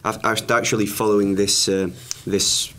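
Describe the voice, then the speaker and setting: Low-voiced young student. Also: male voice, normal speed. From Sicily. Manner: relaxed, hesitant, casual.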